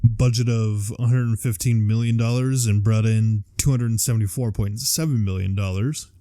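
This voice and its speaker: Low, mellow-voiced man chatting casually. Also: steady tone, normal speed, no room sound, studio quality. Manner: rambling, loosely strung thoughts. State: tired. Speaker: podcast co-host. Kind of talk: casual unscripted chat about films and games.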